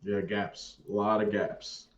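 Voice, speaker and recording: A man's voice: fairly low pitch, little pitch movement, takes it slowly. A middle-aged man chatting casually in a laid-back way with short replies, in a muffled, echoey recording. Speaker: livestream co-host. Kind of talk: casual chat.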